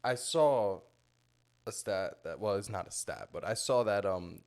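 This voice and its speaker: A man co-hosting a casual podcast speaks in a somewhat low voice. He talks animatedly and thinks out loud, working through ideas as he goes. His laugh comes easily. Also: animated, a little slow. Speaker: podcast co-host.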